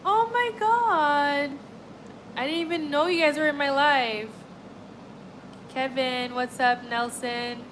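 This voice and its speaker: A woman's voice: high-pitched, slow pace, pauses often, background noise. A young woman from Montreal, chatting casually with her livestream audience in a rambling, friendly way full of "like" and "honestly". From Montreal, Canada. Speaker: livestream host. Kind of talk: casual chat with live chat.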